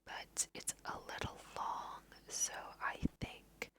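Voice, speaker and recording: Woman whispering slowly, ASMR style. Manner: soft-spoken, unhurried, thinking aloud. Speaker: let's play host. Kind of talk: whispered ASMR game commentary.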